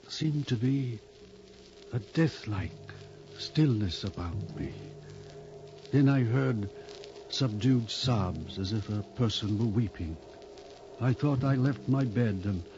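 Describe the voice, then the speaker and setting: A sad worn-out old-time president, real slow and solemn, noisy old radio drama recording. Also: male voice, fairly low pitch, mellow, highs cut off. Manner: slow, halting, solemn delivery. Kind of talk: 1950s radio drama acting.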